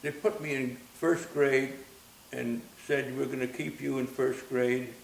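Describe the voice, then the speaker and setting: Gravelly-voiced man in his nineties. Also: mid-range voice, little pitch movement. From Austria. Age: 90s. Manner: gentle, halting, repeats words as he recalls. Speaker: interviewee. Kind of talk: reminiscing interview. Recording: roomy echo with faint hiss.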